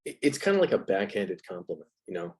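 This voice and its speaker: A man's voice: deep voice, sing-song, fast talker. A man speaking as an interview guest in a casual, analytical chat, reflective and informal, with plenty of "like" and "you know.".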